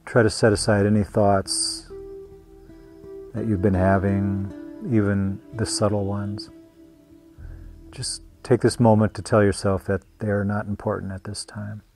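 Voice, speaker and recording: A man with a low voice guides a meditation over plucked guitar music. His delivery is very even and gentle. He takes his time, leaving long pauses between phrases, as a meditation teacher does. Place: meditation retreat.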